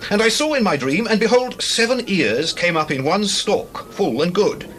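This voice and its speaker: A man's voice: high-pitched, lively intonation, moderate pace, a bit bright, faint music behind. An actor playing a troubled, imperious ancient Egyptian king in a dramatized scripture reading, formal and declamatory. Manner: formal, declamatory, archaic diction.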